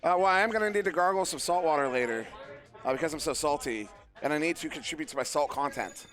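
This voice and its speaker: Male esports caster, hoarse from a long event, self-deprecating, bright tone. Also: fairly high pitch, fast, soft background music. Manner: joking, self-deprecating, rambling with fillers. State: losing his voice. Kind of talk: live play-by-play commentary. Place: live event venue.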